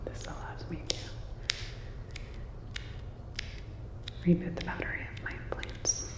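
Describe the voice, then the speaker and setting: A woman playing a calm, attentive part-cyborg robot repair technician in an ASMR roleplay, whispering breathily in a soft, reassuring, clinical way. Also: a little fast, natural voice. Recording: dull, echoey, long reverb.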